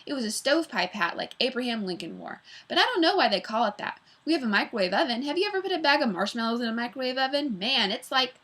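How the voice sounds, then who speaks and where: Woman doing an excited rambling schoolboy. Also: a little high, fast talker, few pauses, pitched above the performer's own voice. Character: boastful chatty young schoolboy.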